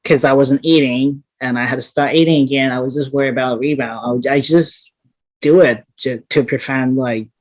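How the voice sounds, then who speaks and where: A woman's voice: rumbling low, fairly even intonation, normal speed, no echo. Female interview guest from Hong Kong, straightforward and blunt, casual talk, dry recording. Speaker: interview guest, competitive bodybuilder.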